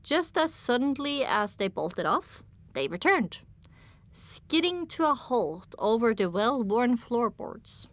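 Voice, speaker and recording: A woman's voice: a little high, big pitch swings, slow pace. A woman reading scripted first-person story narration as an audiobook narrator.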